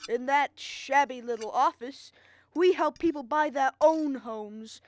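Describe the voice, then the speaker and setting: A woman acting a gentle, weary father in a radio drama, speaking patiently with small hesitations. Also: high voice, unhurried, no echo. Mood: earnest. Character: gentle, weary old father of a grown son. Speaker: student actor.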